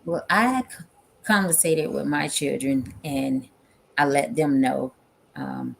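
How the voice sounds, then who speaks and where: A woman's voice: a bass voice, expressive, slow, vocal fry, slight background noise. A mother on a panel, speaking directly and plainly with plenty of fillers in a casual discussion.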